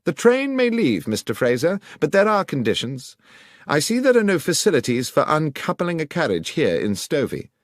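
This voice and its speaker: Audiobook detective, man performing: brisk, commanding, explains with precision, lively intonation. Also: on the high side, no room sound, studio quality, voice pushed up. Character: brisk, assured male detective explaining his deductions. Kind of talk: audiobook character dialogue.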